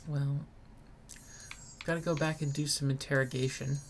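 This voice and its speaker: A woman's voice: very deep voice, fairly even intonation, normal speed, slight background noise. A woman doing a let's play, giving casual game commentary and reading dialogue aloud dramatically. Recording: loud game music behind. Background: game music.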